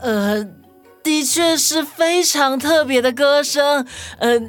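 一位女性在儿童动物故事里扮演温柔、真诚又重情义的年轻新娘。. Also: female voice, sits high, slow. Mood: earnest, gentle. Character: sweet, loyal young bride in animal fable. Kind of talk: children's audio story acting. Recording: music behind the voice.

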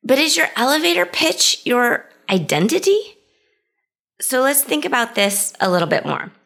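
A woman's voice: on the high side, sing-song, moderate pace, fairly bright tone. A woman hosting her own podcast, talking through ideas in a conversational, reflective way and starting many sentences with "so". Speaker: podcast host. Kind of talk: solo podcast monologue.

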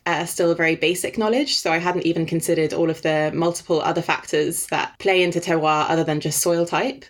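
A woman from Denmark whose first language is Danish, chatting thoughtfully as a podcast guest with frequent pauses. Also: low voice, fairly even intonation. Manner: thoughtful, with frequent "um" and light laughs. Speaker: guest, wine writer. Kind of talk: casual interview chat.

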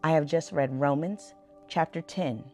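A woman reading Bible scripture aloud for a podcast in a measured, solemn manner. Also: low voice, dark tone, soft background music.

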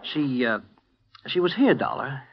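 A man's voice: mid-range voice, sing-song, a little slow, slight background noise. An actor playing an earnest middle-aged male insurance executive in a 1950 radio drama, hesitant and coaxing. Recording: dull 1950 radio transcription.

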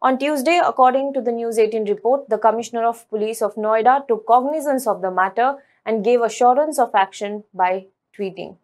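A woman presenting a scripted news report in an earnest, measured way. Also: fairly high pitch, darkish tone.

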